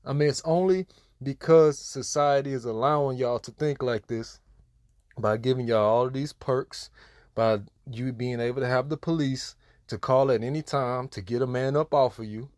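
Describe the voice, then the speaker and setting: A man's voice: medium pitch, steady tone, moderate pace, a little mellow, dry recording. An American man delivers preachy commentary in a mid-range voice. He is admonishing and emphatic, hammering points through repetition. The sound is close and dry, with very little room. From United States. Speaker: religious commentator.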